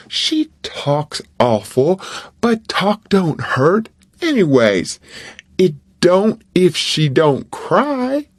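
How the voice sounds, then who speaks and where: A man's voice: a little high, no echo, full character voice. A man voicing a mischievous young boy who coaxes and wheedles in a storybook reading. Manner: wheedling, persuasive.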